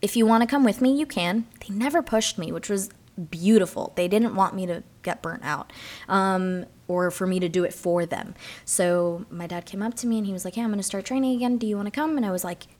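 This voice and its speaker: A young woman from Miami, a martial artist guest in a casual interview, telling her story with animated, acted-out quotes. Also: medium pitch, a little fast. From Miami, Florida.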